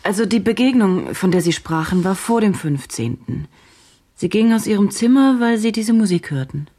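A woman acting as an investigative journalist in a radio play. She speaks in a mellow, mid-pitched voice with deliberate, clipped phrasing. Her manner is analytical, intense and probing. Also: expressive, lightly acted. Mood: probing, reserved. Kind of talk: German radio drama acting.

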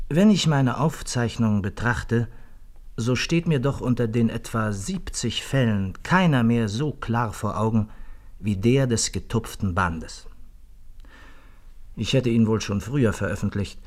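An earnest gentleman storyteller, lowish voice, formal radio play narration, takes his time. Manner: deliberate, formal. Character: Doctor Watson as measured, earnest male storyteller. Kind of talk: scripted first-person narration in a radio play.

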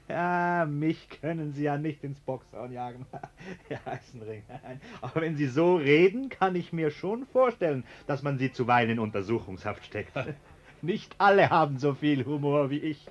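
An actor playing an anxious, ingratiating middle-aged homeowner in a German radio play, over-polite, hesitant and falsely jovial. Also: male voice, on the high side, animated, moderate pace, muffled recording, close to an everyday voice. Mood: uneasy, falsely amused. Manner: hesitant, over-polite, chummy, nervous chuckling.

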